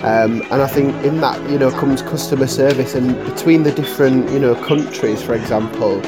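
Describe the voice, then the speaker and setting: A man's voice: medium pitch, moderate pace. A man who is a guest podcaster chats casually and amiably, filling his sentences with "you know". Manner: friendly, filler-heavy with "you know". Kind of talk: casual live chat. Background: music.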